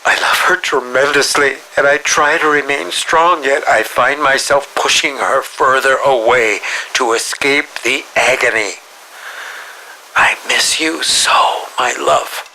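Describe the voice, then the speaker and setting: An actor voicing a melodramatic, sinister film director villain in a video game, drawing out his lines and dropping into breathy whispers. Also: medium pitch, fairly even intonation, pushed and bright, a little hiss or hum, full character voice, rough recording. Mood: anguished mourning. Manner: melodramatic, drawn-out delivery with breathy whispered drops. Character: theatrical, sinister mad male film director villain, grieving and menacing. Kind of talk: scripted game villain monologue.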